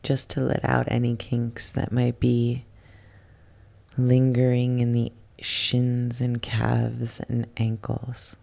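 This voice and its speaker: Hushed ASMR meditation guide, soothing, very slow, gravelly fry, soft near-whisper delivery, long pauses. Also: mid-range voice, monotone. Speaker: guided relaxation instructor. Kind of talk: ASMR guided yoga nidra relaxation.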